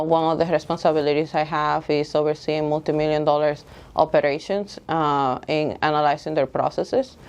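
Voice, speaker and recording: A middle-aged woman from the Dominican Republic with a Dominican Spanish accent, explaining her case as a candidate in an earnest, halting way. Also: sits low, clear, resonant tone. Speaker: school board candidate. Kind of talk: candidate interview, semi-prepared pitch.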